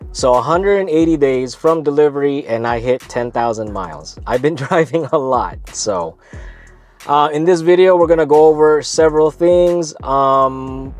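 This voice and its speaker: A man's voice: a little high, moderate pace, darkish tone, faint music behind. Congested man chatting casually on camera. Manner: easygoing, rambling with self-corrections. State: congested, stuffy nose. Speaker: vlog host.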